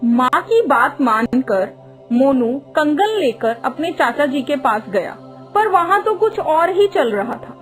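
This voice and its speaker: A woman's voice: high voice, very animated, takes it slowly, faint music behind, rough recording. A woman reading scripted narration for a cartoon moral story as its storyteller.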